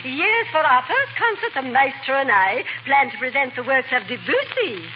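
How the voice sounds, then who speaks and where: An actress playing a haughty, fluttery society matron, affected and pompous, in a 1940s radio comedy. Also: female voice, high voice, very animated, normal speed. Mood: gracious, haughty. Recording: muffled, noisy old radio recording.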